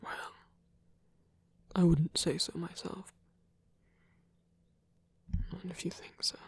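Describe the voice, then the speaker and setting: A performer voicing a gentle, protective vampire priest in a soft, husky whisper, tender and hesitant, as intimate ASMR roleplay. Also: medium pitch, steady tone, takes it slowly, no room sound, heavily acted. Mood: devoted, soft.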